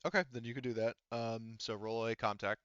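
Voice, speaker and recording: A man's voice: mid-range voice, steady tone, brisk, fairly bright tone, clear, resonant tone, no room sound, highs cut off. A man running a tabletop roleplaying game as game master, talking casually, thinking aloud and swearing freely.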